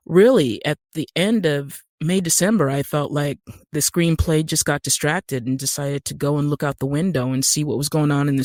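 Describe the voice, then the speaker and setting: Male podcast guest, higher register, emphatic and opinionated conversational delivery, dry recording. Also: dry recording.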